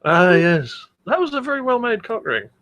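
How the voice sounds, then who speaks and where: A man's voice: high voice, big pitch swings, moderate pace, a bit bright, dry recording. A man co-hosting a comedy podcast, chatting casually in a blunt, crude manner. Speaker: podcast co-host.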